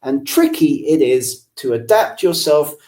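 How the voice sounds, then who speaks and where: A man from England whose English accent carries a faint Irish lilt, talking through a webinar from his home office. His voice is mellow and rather dark, and he addresses listeners directly in a friendly, explanatory manner. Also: a little high, lively intonation, a little slow. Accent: English with a slight Irish lilt. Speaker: webinar presenter. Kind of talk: live webinar presentation.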